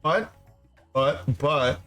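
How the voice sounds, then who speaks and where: A man's voice: fairly high pitch, lively intonation, very slow, a bit bright, faint music behind. A congested man chatting casually on his game stream, rambling, laughing and swearing freely. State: congested nose and throat. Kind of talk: casual gaming stream chat.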